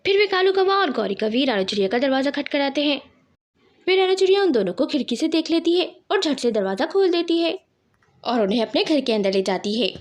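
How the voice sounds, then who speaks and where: High-pitched woman narrating a children's story.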